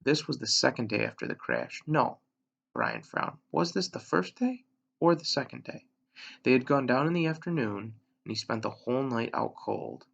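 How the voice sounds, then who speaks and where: A man with a gravelly voice reading a children's novel aloud, measured, with dramatic pauses and emphasis. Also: medium pitch, very animated, a bit bright, muffled recording. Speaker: elementary school reader, story narrator.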